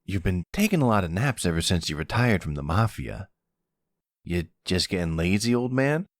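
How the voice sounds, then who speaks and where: A man's voice: sits low, very animated, moderate pace, no echo, clean studio sound. A man playing a cheeky, teasing young son of a mafia boss in an audio roleplay.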